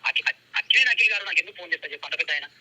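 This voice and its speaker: High, rapid-fire man on phone. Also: lively intonation, sharp tone, slightly rough. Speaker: prank call recipient. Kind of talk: casual phone banter. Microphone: phone line. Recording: noisy phone call playback.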